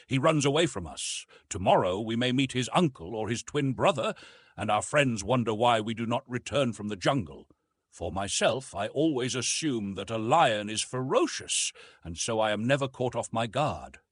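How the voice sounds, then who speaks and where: A fearless jungle-raised man among gentlemen voiced by a male audiobook narrator, dry and self-assured, choosing measured words. His voice has a husky grain and wide intonation, recorded close and dry. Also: mid-range voice, no echo, voice pushed up. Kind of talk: audiobook character dialogue.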